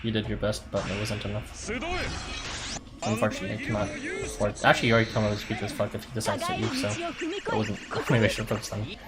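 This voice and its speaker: A man's voice: on the low side, very animated, fast. A man giving casual, chatty live commentary while he plays a game as a streamer, in an offhand manner with some mild swearing. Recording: music playing behind the voice. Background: background music.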